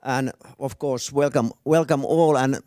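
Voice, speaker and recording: A man moderating a stage panel on a handheld mic, halting and restarting his sentences. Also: mid-range voice, fairly even intonation, warm tone, dry recording, clean studio sound. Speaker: moderator, business advisor. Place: event stage.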